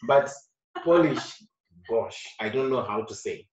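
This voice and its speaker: Earnest man, recorded from afar. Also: measured pace. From Zimbabwe. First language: Shona. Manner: earnest, repeats phrases, animated rises in pitch and loudness. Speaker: actor being interviewed. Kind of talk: casual interview talk. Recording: roomy distant dull sound.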